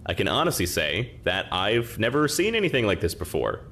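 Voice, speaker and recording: Exacting male professor for audio comedy, wry and formal, swinging intonation, some rumbly background noise. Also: sing-song, fairly quick, a bit bright. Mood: warm, formal. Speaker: actor in audio comedy drama. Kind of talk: audio comedy drama acting.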